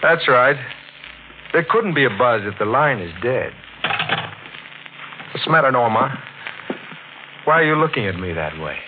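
An actor playing a calm, smooth-talking murderer in a 1940s radio drama. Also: male voice, mid-range voice, a little slow, long pauses. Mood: menacing. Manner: calm, menacing, silky. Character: smooth-talking man, a cunning fire-mad killer. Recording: tinny old radio broadcast with hiss.

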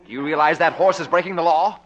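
Guy doing blustery pompous mayor, bright forceful highish voice, old radio comedy. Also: fast talker, muffled recording, natural voice. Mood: officious, bossy. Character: officious blustering small-town mayor, self-important. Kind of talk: 1950s radio comedy acting.

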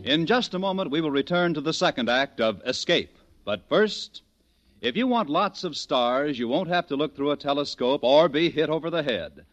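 A man's voice: on the high side, lively intonation, moderate pace, soft background music. A man announcing in scripted 1940s network radio style. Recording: dull vintage radio recording.

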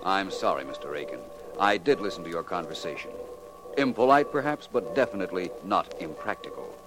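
A gentleman sleuth in a vintage radio mystery. His voice is deep, and he speaks in an urbane, measured, precise way, never flustered. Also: expressive, music in the background, poor audio. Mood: cool, polite. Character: suave, unflappable gentleman detective. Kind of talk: 1940s radio detective drama acting.

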